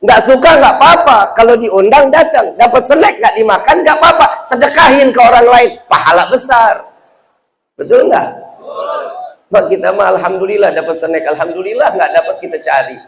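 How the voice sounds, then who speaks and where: High-pitched Indonesian male preacher, playful and colloquial. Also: animated, moderate pace, vocal fry, poor audio. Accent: Jakarta Indonesian. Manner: colloquial, playful, interactive with rhetorical questions. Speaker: Islamic preacher. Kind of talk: humorous sermon to a live audience.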